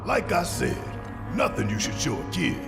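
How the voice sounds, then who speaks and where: An actor playing a gruff, suspicious, hot-tempered rebel fighter in a video game, gravelly and brash with streetwise slang. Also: male voice, medium pitch, huge pitch swings, moderate pace, in a large hall, loud music behind the voice. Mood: disgusted. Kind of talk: dramatic video game acting.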